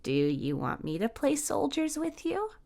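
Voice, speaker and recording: A woman voicing a gentle, caring young transgender woman with a soft, slightly awkward manner in an audio story. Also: sits high, sing-song, measured pace, gravelly, voice pushed up, heavily acted. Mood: teasing, gentle.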